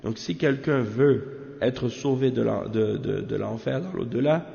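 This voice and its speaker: A gravelly-voiced man with a cold gives a religious lesson on Quran exegesis, racing through Arabic passages and then unpacking them slowly. He stumbles, repeats words and corrects himself as he goes. The recording is dull and lo-fi, with a long echoing reverb. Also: medium pitch, warm tone. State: has a cold.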